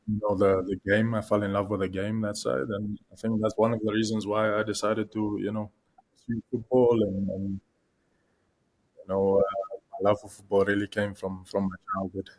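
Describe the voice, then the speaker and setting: A young man from South Africa speaks in a low, level voice as a podcast guest. He talks in a hesitant way, full of fillers and restarts. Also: monotone, normal speed, a little mellow, poor audio. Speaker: guest, content creator. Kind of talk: podcast interview.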